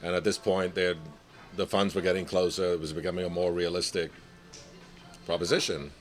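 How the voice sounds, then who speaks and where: Very deep-voiced chef from Nigeria. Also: male voice, little pitch movement, a little fast, faint music behind. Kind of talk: casual interview.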